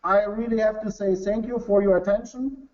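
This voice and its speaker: A German-accented man with a fairly high voice presents to a webinar audience, earnest and halting as he restarts his non-native English. The audio is dull and lo-fi. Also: steady tone, darkish tone. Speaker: company executive presenting to a webinar.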